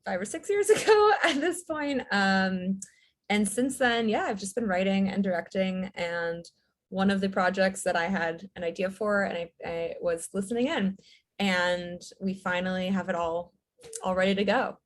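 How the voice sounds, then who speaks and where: Relaxed woman talking through her career. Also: medium pitch, normal speed. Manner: relaxed, fillers, light laughs. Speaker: guest, writer-director. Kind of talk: casual interview chat.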